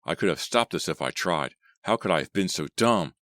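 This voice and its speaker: A man's voice: low-pitched, expressive, brisk, a bit bright, dry recording, clean studio sound. A man reading a storybook, voicing an anxious teenage girl in a choked, strained voice. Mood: guilty.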